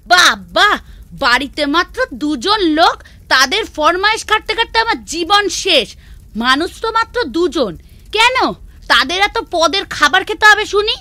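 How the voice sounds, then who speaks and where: A woman's voice: very high voice, very animated, moderate pace, bright, forceful tone, dry recording, heavily acted. A woman voicing a cheeky, grumbling housemaid who whines and talks back, in a children's cartoon. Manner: whiny, back-talking.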